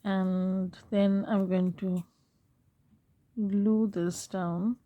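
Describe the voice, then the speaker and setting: A woman hosting a craft tutorial, talking casually while she works, her voice hoarse and fading, and she keeps clearing her throat. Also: medium pitch, fairly even intonation, phone-quality sound. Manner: soft, unhurried, frequent throat clearing. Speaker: craft channel host.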